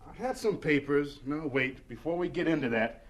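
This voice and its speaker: An actor in an audio drama playing a busy, genial but demanding college principal with a clipped, bustling manner. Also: male voice, fairly high pitch, expressive, moderate pace. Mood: brisk, distracted. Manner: clipped, bustling, self-interrupting, emphatic.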